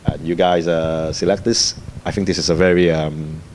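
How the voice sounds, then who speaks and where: A filmmaker from Hong Kong with a Cantonese accent, speaking haltingly with fillers and repeated words at a festival panel. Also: male voice, low voice, little pitch movement, darkish tone, a little hiss or hum.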